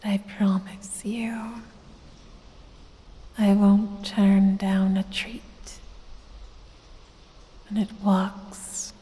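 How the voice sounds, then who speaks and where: A woman voicing a sultry, predatory lamia who teases and coaxes with quiet menace in a breathy, whispery ASMR roleplay, drawing out her words seductively. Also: level tone. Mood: sultry, teasing. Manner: slow, drawn-out, seductive teasing with low chuckles. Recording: long cavernous reverb.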